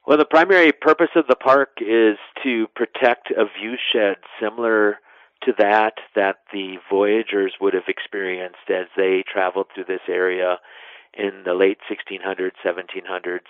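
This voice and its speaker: Low-voiced man on a phone line. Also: steady tone, moderate pace, no echo. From west central Wisconsin, United States. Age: middle-aged. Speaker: interview guest, national park superintendent. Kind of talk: conversational interview answers. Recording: narrow phone-line sound.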